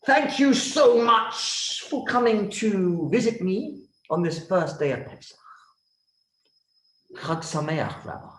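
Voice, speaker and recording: Man acting as pompous storybook king, imperious, high swooping pitch, drawn-out words, roomy echo. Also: lively intonation, a bit bright, a little hiss or hum, full character voice. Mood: gracious, pompous. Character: grandiose, imperious male king in a children's story. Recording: roomy, echoing sound.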